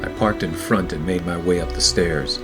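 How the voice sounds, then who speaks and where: An actor playing a hardboiled, wisecracking private detective, narrating a 1940s noir radio play in a deadpan, wry way. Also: male voice, deep voice, normal speed, over loud music, lightly acted. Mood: dry, brooding.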